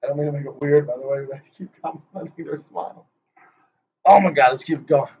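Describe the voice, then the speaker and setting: A man's voice: fairly high pitch, quick pace, a little mellow, breathy edge. A man rehearsing a playful conference presentation, joking, hyping up and teasing the people he names. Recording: dull lo-fi recording.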